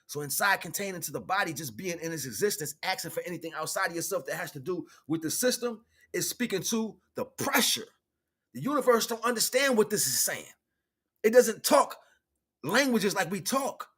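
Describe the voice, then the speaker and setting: A man with an American accent speaks in a slightly high, sharp-edged voice. He delivers a loose, improvised spiritual message in street slang. He leans on repetition to drive points home and slips into imagined back-and-forth dialogue. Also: sing-song, moderate pace, slightly rough. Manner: emphatic, repeats phrases for stress. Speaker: spiritual teacher and livestream host. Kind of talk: improvised spiritual talk, casual street preaching.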